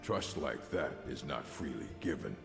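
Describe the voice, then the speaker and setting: An actor playing a stern, guarded orc in a fantasy video game, with a gravelly, husky voice. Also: male voice, very deep voice, expressive, moderate pace, faint music behind, exaggerated voice. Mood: guarded, terse. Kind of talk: scripted game dialogue.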